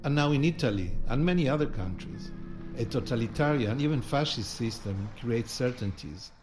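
A man's voice: mid-range voice, animated, moderate pace. A man co-hosting a podcast, presenting in a semi-scripted style. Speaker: podcast co-host and curator. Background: music with instruments.

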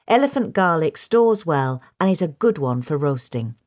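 A woman's voice: low voice, sing-song, moderate pace, a little mellow. A woman reading a gardening article aloud as scripted narration. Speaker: article reader.

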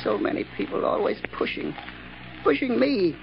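A man's voice: very high-pitched, sing-song, moderate pace, vocal fry, heavily acted. An actor playing an unhinged, murderous night watchman in an old radio drama, stammering and giggling in a nervous, childlike way. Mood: brooding, vengeful. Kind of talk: 1940s radio acting. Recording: muffled old-time radio recording.

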